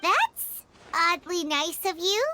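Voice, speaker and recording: A woman voicing a small, childlike floating companion in a video game, excitable and nosy, squeaky and blunt. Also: slow, background noise, exaggerated voice. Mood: curious. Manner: exclamatory, blunt, questioning.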